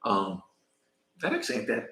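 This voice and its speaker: An American man rambling casually on a live stream while traveling in Mexico, pausing to thank viewers by name. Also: on the low side, wildly swooping pitch, sharp tone, roomy sound, slight background noise. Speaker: vlogger.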